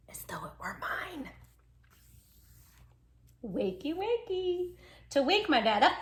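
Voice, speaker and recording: Woman reading as an animated, proud little storybook dachshund. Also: on the high side, heavily acted. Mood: cheeky. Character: small, proud, affectionate male dachshund, timid and stubborn. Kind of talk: storybook diary read aloud.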